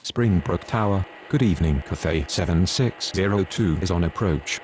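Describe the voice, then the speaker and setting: A man's voice: low voice, moderate pace, lightly acted. A man playing a businesslike airport radio operator in an aviation game, speaking formal, procedural phraseology. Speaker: air traffic radio voice.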